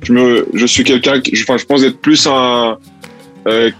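A man's voice: mid-range voice, fairly even intonation, fairly bright tone, faint music behind. A man who grew up in Italy, chatting casually as an interview guest, often restarting and searching for words. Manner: halting, many restarts and fillers. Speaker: rapper guest.

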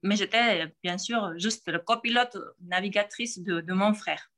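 A woman in her forties with a Georgian accent, answering interview questions in a halting way, with frequent pauses and restarts. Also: medium pitch, sharp tone. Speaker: podcast guest.

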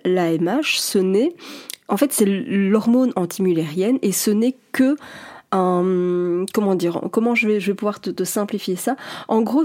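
A woman's voice: mid-range voice, dark tone. A woman in her forties, a fertility coach speaking alone on a podcast, talking straight to the listener in an earnest, reassuring way. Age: 40s. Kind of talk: solo podcast monologue.

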